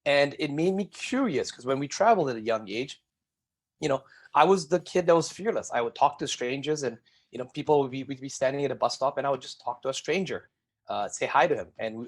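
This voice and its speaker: A middle-aged man from Singapore, a guest telling his life story in an energetic interview and addressing the viewers directly. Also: medium pitch, fast talker.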